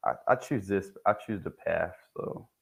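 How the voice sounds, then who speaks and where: Soft-spoken young man, low voice. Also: moderate pace, creaky, breathy edge. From Haiti. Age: young adult. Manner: soft-spoken, hesitant, restarts often. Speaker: guest, HVAC technician. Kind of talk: casual interview talk. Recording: dull lo-fi sound.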